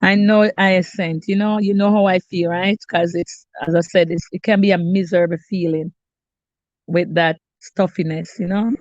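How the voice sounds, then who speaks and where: A woman's voice: fairly low pitch, fairly even intonation, normal speed, a little mellow, no echo, highs cut off. A middle-aged Jamaican woman hosting a cooking live stream, chatting casually with viewers by name. She sounds stuffy and congested and often restarts her sentences. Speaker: cooking channel host.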